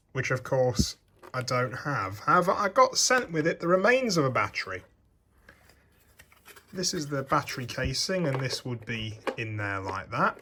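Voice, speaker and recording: A man's voice: fairly high pitch, pushed and bright. A man casually demonstrating a vintage tape machine at his workbench, explaining its parts in a chatty, thinking-aloud way. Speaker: vintage electronics hobbyist. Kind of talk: casual hands-on product demonstration. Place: home workbench.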